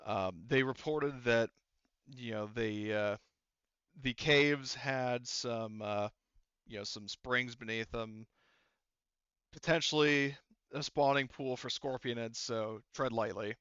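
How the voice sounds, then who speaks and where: Male game master, clear dry voice, casual improvised narration, rambling and stop-start delivery. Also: mid-range voice, slow pace, fairly bright tone, dry recording, muffled recording. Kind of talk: improvised tabletop roleplaying narration.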